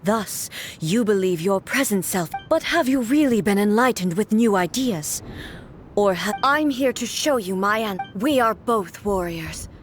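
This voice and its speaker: A woman voicing a stern, regal female ruler deity in a video game, formal, measured and commanding. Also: mid-range voice, lively intonation, lightly acted. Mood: solemn. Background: game music.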